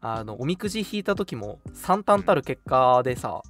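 A man hosting a radio show in casual chat, talking in an animated, chatty way with a co-host. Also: medium pitch, moderate pace, faint music behind.

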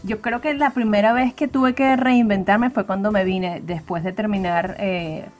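A woman's voice: mid-range voice, normal speed, soft background music. A Venezuelan woman speaking as a podcast guest, telling her story in a reflective way with frequent fillers. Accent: Venezuelan Spanish. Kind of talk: conversational interview.

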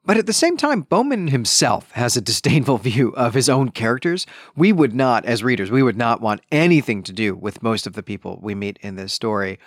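A man's voice: mid-range voice, very animated, a little fast, few pauses, no room sound, clean studio sound. A man hosting a podcast, talking through a book review conversationally, explaining and adding self-correcting asides. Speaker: podcast host.

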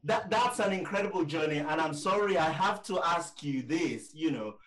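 A man with a fairly high voice hosts an interview podcast. He is enthusiastic and conversational, leaning on key words with emphasis. He sounds a little distant from the microphone. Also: expressive, moderate pace, fairly bright tone.

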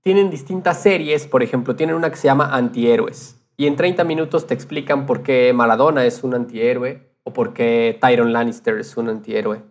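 A man's voice: medium pitch, a little fast, few pauses. A man speaking casually as a podcast guest, explaining his thoughts in a reflective way. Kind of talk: casual conversation.

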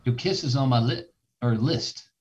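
A man's voice: medium pitch, normal speed, a little mellow, dull audio. A man co-hosting a sports podcast, joking along and rambling through self-corrections. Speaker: podcast co-host. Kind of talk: casual sports chat.

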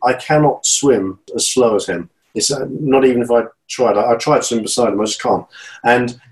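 Fifties guy from britain, keeps restarting his words, earnest, mid pitch. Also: normal speed, poor audio. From Great Britain. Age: 50s. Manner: stammering restarts, earnest and encouraging. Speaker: guest, channel swimmer. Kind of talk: casual interview talk.

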